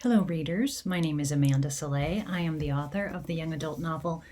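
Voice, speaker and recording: A woman with a slightly low, soft-edged, mellow voice speaks as a novelist to her readers. She is playful and a little wry, keeping it casual as she sets up a quiz question. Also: a little fast, a little hiss or hum. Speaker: young adult novelist. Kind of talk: casual talk to camera, posing a trivia question.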